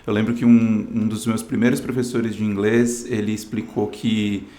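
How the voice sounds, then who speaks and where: A man's voice: fairly low pitch, flat delivery, moderate pace, warm tone, reverberant. A Brazilian man in his thirties, a guest telling his story in an earnest, casual way, often adding "né". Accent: Brazilian Portuguese. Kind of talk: casual interview chat.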